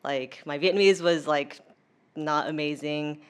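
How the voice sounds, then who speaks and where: Interview guest: young woman, American accent, low register, informal chatty delivery. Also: a little slow, smooth. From United States. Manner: chatty, informal, heavy use of "like". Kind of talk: casual conversational interview.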